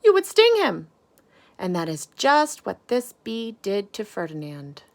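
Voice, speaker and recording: Slow, expressive woman reading to children. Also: medium pitch. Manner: deliberate, dramatic emphasis, asks listeners questions. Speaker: teacher reading to pupils. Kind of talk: expressive picture-book read-aloud for children.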